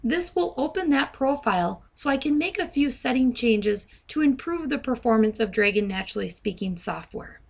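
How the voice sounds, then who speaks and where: A woman presenting step-by-step software training in a deliberate, instructional manner. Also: on the high side, lively intonation, vocal fry. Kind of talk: step-by-step tutorial narration. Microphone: handheld dictation microphone.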